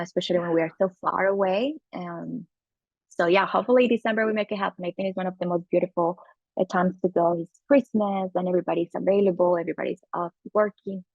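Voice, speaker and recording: Gravelly Colombian woman, casual podcast guest. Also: lowish voice, dry recording, dull audio. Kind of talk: casual conversation.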